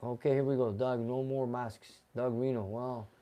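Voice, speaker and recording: Man, live show host, chatty, reads comments aloud, mellow tone. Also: mid-range voice, fairly even intonation, moderate pace. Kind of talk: casual live-stream chat reading viewer comments.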